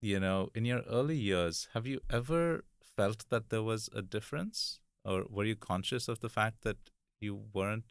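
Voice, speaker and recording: A man hosting a podcast, introducing the episode and his guest in a relaxed, friendly way with frequent ums. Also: on the low side, normal speed, clean tone, dry recording, studio quality. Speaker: podcast host. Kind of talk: casual podcast conversation.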